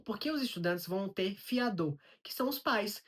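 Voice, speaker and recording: A woman's voice: medium pitch, lively intonation, normal speed, slightly rough. A woman with a Brazilian Portuguese accent, telling a personal story to camera in a vlog and explaining things step by step, often asking a question and then answering it herself. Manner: explanatory, poses rhetorical questions and answers them. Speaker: vlogger.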